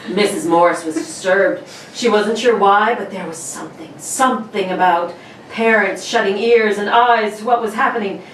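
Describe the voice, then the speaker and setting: A woman's voice: medium pitch, moderate pace. A woman narrating a scripted radio story. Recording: roomy, distant mic, faint noise.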